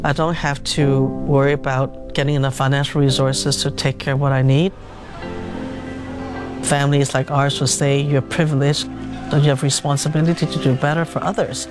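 A woman's voice: rumbling low, level tone, moderate pace, over music. A woman in her seventies from Hong Kong, a doctor reflecting as an interview guest, who laughs often as she recounts memories. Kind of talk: reflective conversational storytelling.

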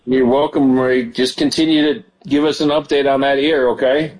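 A man's voice: mid-range voice, steady tone, fairly quick, a little mellow, rough recording. A man hosting a call-in prayer show as pastor, talking with callers and praying fervently with many hallelujahs. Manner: fervent, prays aloud with hallelujahs. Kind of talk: call-in ministry talk and prayer.

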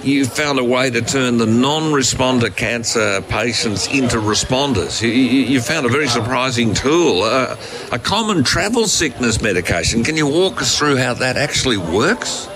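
A man's voice: medium pitch, animated, normal speed, crowd noise. An Australian man hosting a morning talk radio show, chatty and upbeat as he interviews a guest. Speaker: radio host.

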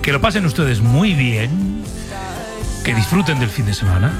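A man's voice: mid-range voice, sing-song, moderate pace. A man hosting a radio show with casual, chatty sign-off talk. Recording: loud music behind.

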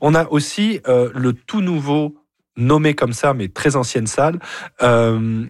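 A man's voice: mid-range voice, measured pace, no echo, clean studio sound. A man with an accent from France giving casual radio commentary as a music columnist, chatty, digressive and teasing. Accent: French from France.

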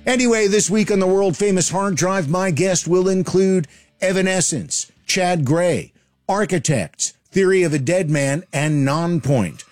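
A middle-aged male rock radio host with a raised, showy announcer voice. He reads scripted show intros with wry flair over a clear guitar music bed. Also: fairly high pitch, a little mellow.

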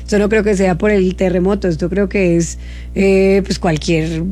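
A woman's voice: medium pitch, level tone, normal speed, warm tone. A woman from Cali with a Colombian accent, chatting casually as a live radio host. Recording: music playing behind the voice. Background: background music.

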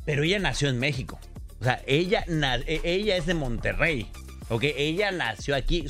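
A man's voice: mid-range voice, a bit bright. A man with a Mexican accent speaking as a radio host, chatting casually in colloquial, informal language. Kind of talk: casual radio chat with ad reads and commentary.

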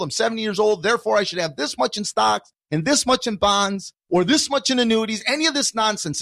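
A high-voiced man with an American accent teaches on a radio program. He is emphatic, hammering points through repetition and rhetorical questions, in a dry studio recording. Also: a bit bright, no room sound. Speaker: financial educator and radio show guest. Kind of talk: explanatory radio talk.

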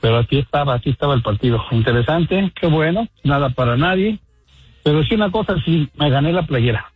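Mexican caller guy, husky, rambly, muffled phone sound. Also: fairly high pitch, animated, no echo. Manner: rambling, halting, laughs often. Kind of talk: chatty phone-in about football.

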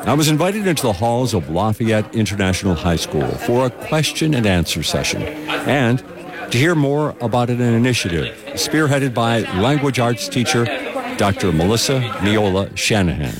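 A man's voice: medium pitch, sing-song, moderate pace, a crowd in the background. A man working as a local radio news host and reporter, reading announcements and reports. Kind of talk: live traffic notes and scripted radio reporting.

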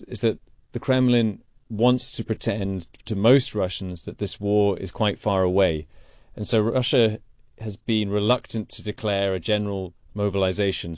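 A man's voice: fairly low pitch, a little mellow, dry recording, studio quality. A man working as a foreign correspondent, giving a conversational news report.

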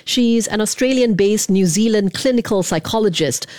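A woman's voice: mid-range voice, normal speed, a little mellow, dry recording. A woman hosting a radio interview, reading a prepared introduction and then asking questions more loosely with some fillers. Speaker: radio host.

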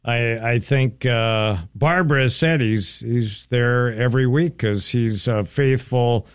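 A man's voice: mid-range voice, takes it slowly, smooth, dry recording, clean studio sound. A man hosting a radio interview, affable and agreeable, who chimes in often and shares friendly remarks. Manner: affable, agreeable, many short backchannels. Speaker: radio host.

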